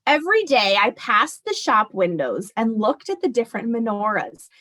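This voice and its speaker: A woman as a kindly, expressive storybook grandmother. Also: fairly high pitch, normal speed, exaggerated voice. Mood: wistful, admiring. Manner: gentle, reminiscing. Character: kindly, wistful old grandmother telling memories, in a storybook.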